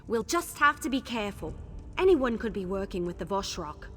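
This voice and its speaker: A woman's voice: medium pitch, sing-song, normal speed, close to an everyday voice. A woman playing a spirited, chatty adventurer ally in a fantasy video game, speaking expressively. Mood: wry, determined. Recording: long reverb, music underneath.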